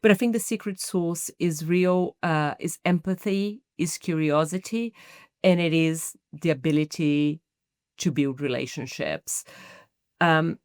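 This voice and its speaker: A middle-aged woman from Brazil with a Brazilian Portuguese accent, answering podcast interview questions in a thoughtful, measured way with frequent restarts. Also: low voice, darkish tone, no echo, studio quality. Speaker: guest, marketing executive.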